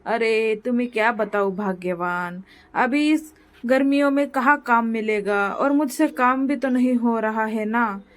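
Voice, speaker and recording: Casting: woman as humble, anxious village dad in a moral tale, high voice, pleading manner. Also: unhurried, natural voice. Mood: worried. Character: poor village father, worried, pleading, humble.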